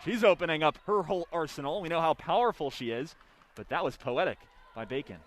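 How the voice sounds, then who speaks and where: A man's voice: a little high, a little fast. A young man calling live volleyball as a radio play-by-play announcer.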